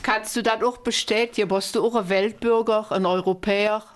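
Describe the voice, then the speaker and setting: A woman's voice: medium pitch, steady tone, moderate pace. A woman from German-speaking Belgium hosting a dialect radio interview, with an East Belgian German dialect and a chatty way of prompting her guest with short questions. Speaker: radio host.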